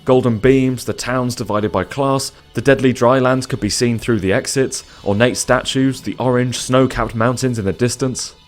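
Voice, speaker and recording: A man's voice: mid-range voice, steady tone, normal speed, hardly any pauses. Male story narrator over music. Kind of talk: scripted dramatic story narration.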